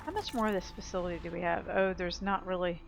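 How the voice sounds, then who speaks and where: A woman's voice: lowish voice, quick pace, gravelly. A woman giving casual gameplay commentary as a let's play host, rambling and blunt with some swearing. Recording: loud game music behind.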